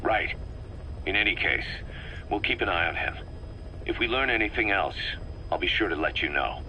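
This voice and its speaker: An actor playing a hard, suspicious, bitter military commander in a video game, speaking in a terse, accusatory way. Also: male voice, low voice, lively intonation, normal speed. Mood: matter-of-fact, frustrated. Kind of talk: dramatic video game voice acting. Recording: slightly noisy cassette tape recording.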